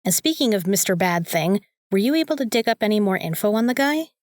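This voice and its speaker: A woman's voice: mid-range voice, lively intonation, fast, darkish tone, dry recording, close to an everyday voice. A young woman acting in an audio drama as a bossy, sarcastic student who talks in an opinionated, emphatic way. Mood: scolding, sarcastic. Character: bossy, sarcastic young female student, vampire slayer's sidekick.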